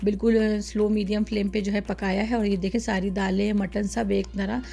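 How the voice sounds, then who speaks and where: A woman's voice: mid-range voice, flat delivery, normal speed, vocal fry, dark tone. A woman hosting a cooking channel, talking through each step of a recipe in a chatty, casual way with plenty of fillers. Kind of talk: casual cooking demonstration voice-over.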